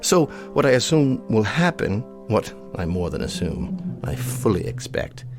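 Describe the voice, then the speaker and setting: A wealthy old colonel with a low voice, full of haughty disdain. The delivery is formal and exact, as in a radio drama. Music plays softly behind the words. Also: male voice, very animated, a little slow. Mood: scornful.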